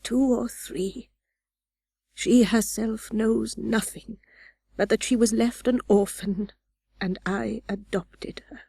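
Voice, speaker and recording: Slow-spoken, broken old recluse lady. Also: fairly high pitch, steady tone, gravelly, warm tone, slightly rough, dry recording, exaggerated voice. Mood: remorseful. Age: older. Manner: slow, anguished, drawn-out delivery. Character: broken, remorseful old wealthy recluse woman. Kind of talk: dramatic audiobook character reading.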